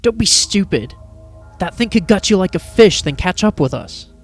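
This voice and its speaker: A man's voice: high-pitched, animated, normal speed, soft background music, voice pushed up. A man playing a brash, level-headed teenage boy wizard in a dramatic story reading. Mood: scolding.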